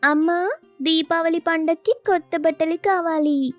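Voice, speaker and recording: A woman's voice: very high voice, steady tone, a little slow, faint music behind, pitched above the performer's own voice. A woman voicing a sulky young village child in a scripted moral story, whining in a childlike way. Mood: pleading.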